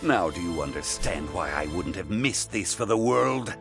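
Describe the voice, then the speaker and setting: An actor playing an obsessive, wealthy supervillain in an animated game, speaking in a formal, theatrical, deliberate way. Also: male voice, low voice, creaky, music in the background. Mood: gloating. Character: obsessive, imperious, wealthy male supervillain with grand ambitions. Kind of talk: dramatic animated game cutscene acting.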